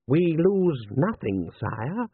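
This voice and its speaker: A man's voice: on the high side, big pitch swings, slow, husky, dry recording, pitched above the performer's own voice, exaggerated voice. A man voicing a deferential army general in an audiobook, formal, careful and insistent. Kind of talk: audiobook character voice. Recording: narrow-band, phone-like sound.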